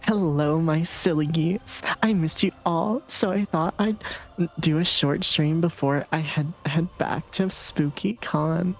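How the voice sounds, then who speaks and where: A woman voicing a young streamer girl who sweetly performs for followers with halting, cutesy patter. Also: sits low, rough recording. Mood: polite, cutesy.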